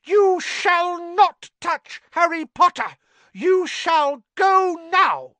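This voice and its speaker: A squeaky, shrill house elf. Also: male voice, very animated, slow, a bit bright, dry recording, heavily acted. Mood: fierce. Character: squeaky excitable male house elf, small and devoted. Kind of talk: audiobook character voice.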